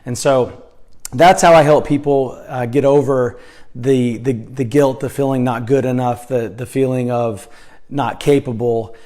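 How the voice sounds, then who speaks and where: A hoarse man talking earnestly as a life coach in a casual vlog, indoors in a slightly echoey room, with frequent fillers and restarts. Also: mid-range voice, fairly even intonation, unhurried, dark tone.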